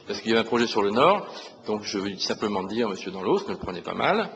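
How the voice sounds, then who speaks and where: Man, lowish pitch, hesitant, repeating words, placating, unscripted council debate, faint noise. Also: big pitch swings, fast talker, a bit bright, muffled recording. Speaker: opposition city councillor. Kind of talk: impromptu council debate remarks. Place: large council meeting room. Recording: reverberant hall with faint noise.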